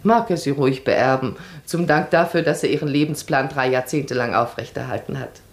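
An actress playing a self-assured, perceptive baroness in a radio play, speaking decisively, eloquently and with emphasis. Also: female voice, a bass voice, brisk. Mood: insistent, impassioned.

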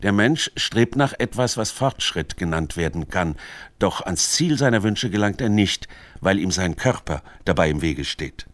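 Low-voiced man narrating, dry studio sound. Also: expressive, unhurried, no echo. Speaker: narrator of a radio literary feature. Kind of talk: scripted documentary narration.

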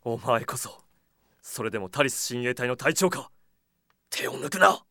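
A man's voice: mid-range voice, animated, moderate pace, pushed and bright, dry recording, studio quality. An actor playing a proud, aloof mercenary swordsman in a Japanese audio drama, with a curt, cold manner.

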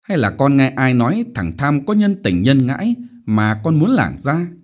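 Guy putting on a fussy worried mom voice, coaxing and soft, well above his normal pitch, lots of lilt. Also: sing-song, normal speed. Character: doting, fretful middle-aged mother.

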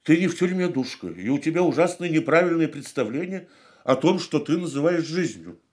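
A man's voice: fairly high pitch, animated, a little fast, a little mellow, lightly acted. An actor in a radio play playing a wounded, bitter man who pleads with his departing lover, brooding and reproachful. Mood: urgent, reproachful. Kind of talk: Russian radio play acting.

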